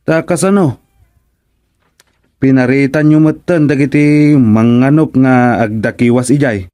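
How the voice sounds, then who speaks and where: A man's voice: medium pitch, measured pace, dark tone, natural voice. A man playing a timid, deferential soldier in a radio comedy drama, hesitant and respectful in his speech. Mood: fearful.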